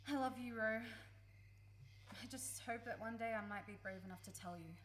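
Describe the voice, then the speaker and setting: A woman's voice: a little high, normal speed, natural voice. An Australian woman playing a sensitive, impulsive young woman in a naturalistic radio play, secretly in love with her friend. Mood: yearning. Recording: live recording with faint background noise.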